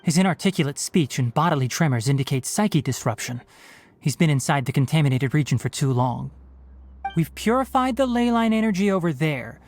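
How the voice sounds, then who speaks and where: A man voicing a measured, analytical young forest ranger in a video game. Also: a little high, expressive, background noise. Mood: clinical.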